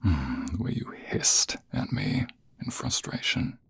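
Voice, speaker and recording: Guy doing a cocky seductive office rival asmr thing, super low gravelly voice, breathy and teasing, slow drawn out flirting. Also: sing-song, full character voice. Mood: teasing, amused. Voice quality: very deep, husky, breathy half-whisper. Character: smug, seductive male office rival in a suit. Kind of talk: ASMR romantic roleplay, close and intimate.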